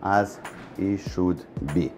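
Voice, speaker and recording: A middle-aged man with a fairly low, mellow voice speaks in as he leads a walk-through of a condo. His talk is chatty and full of repeats, and he keeps calling the viewers "guys." Soft background music plays faintly under him. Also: fairly even intonation, slow. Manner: chatty, repeats "guys" and "again", non-native grammar. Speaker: real estate agent. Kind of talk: walk-through property tour. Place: bright condo, indoors and on an ocean balcony.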